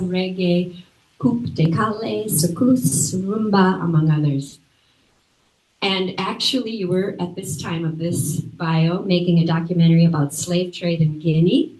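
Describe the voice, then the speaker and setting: A woman's voice: fairly low pitch, dark tone. Woman host in an echoey room. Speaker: event host introducing the speaker. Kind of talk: reading a speaker introduction. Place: library event room.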